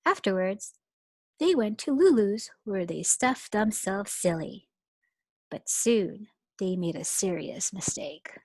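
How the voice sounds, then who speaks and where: A woman teacher reading a picture book aloud to young children in an expressive storytelling way. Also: medium pitch, slow, no room sound. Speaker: pre-kindergarten teacher.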